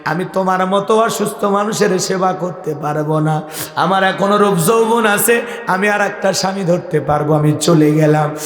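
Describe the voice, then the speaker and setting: A man's voice: high voice, normal speed, fairly bright tone. A man voicing a selfish, scornful young wife in a sermon story, bluntly complaining to her husband. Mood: cold, dismissive. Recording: echoey hall sound, long reverb.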